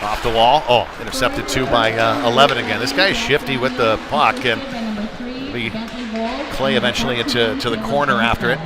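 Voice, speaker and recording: A man's voice: mid-range voice, fairly even intonation, a little fast, a loud crowd. A man calling a live ice hockey game in an informal, conversational play-by-play style. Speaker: play-by-play sports commentator. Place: sports rink during a live game. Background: loud arena music.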